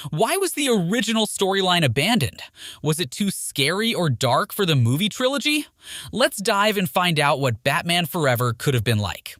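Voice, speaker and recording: Lively, higher-voiced man narrating, dry studio sound. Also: no echo. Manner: presenter-like, poses rhetorical questions. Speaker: video narrator. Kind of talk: scripted film trivia narration.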